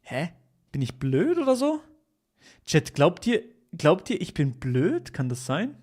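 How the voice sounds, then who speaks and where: A man's voice: a little high, wildly swooping pitch, a little slow, darkish tone. A young man from Switzerland, streaming live and chatting casually with his viewers, jokey, repeating himself and teasing the chat.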